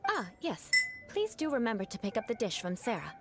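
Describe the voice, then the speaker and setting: A proud, vengeful noble knight. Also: female voice, a little high, big pitch swings, brisk, noisy background. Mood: haughty. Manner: formal, haughty, dry teasing. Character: proud aristocratic female knight, vengeful, aloof. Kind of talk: video game dialogue.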